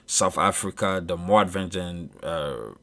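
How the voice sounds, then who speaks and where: Solo commentator, thirties, Ivorian French accent; low-pitched man speaking slowly, hesitant with fillers and restarts. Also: vocal fry, a bit bright. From Ivory Coast. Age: 30s. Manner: measured, with frequent uh fillers and restarts. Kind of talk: solo monologue on culture.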